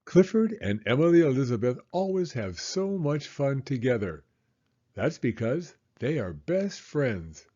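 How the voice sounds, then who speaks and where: Lively male children's storybook narrator. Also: mid-range voice, breathy edge, muffled recording. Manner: expressive, deliberate storytelling. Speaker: storybook reader. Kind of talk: children's read-aloud narration.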